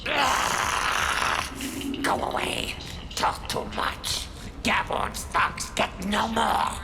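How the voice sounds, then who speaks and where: A menacing alien creature from a video game, crude and hostile. The voice is raised and intensely gravelly, swooping wildly through broken speech. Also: male voice, high-pitched, huge pitch swings, extremely slow, exaggerated voice. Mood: irritated, hostile. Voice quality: very gravelly, rasping. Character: snarling hostile vorcha alien thug, crude and threatening. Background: music.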